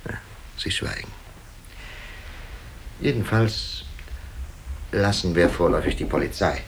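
An actor playing a skeptical, hesitant older prelate in a radio drama, speaking in a deliberate, weary way. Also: male voice, sits low, a little hiss or hum, low-quality recording. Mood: grave, confiding. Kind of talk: German radio drama acting.

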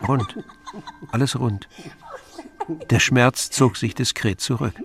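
A man's voice: medium pitch, slow, gravelly, crowd noise. A man giving scripted literary narration as the narrator of an audio drama.

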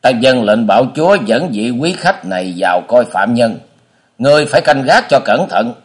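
A man's voice: on the low side, very animated, normal speed, fairly bright tone. A man voicing a solemn castle warrior in an audiobook, formal and commanding in manner.

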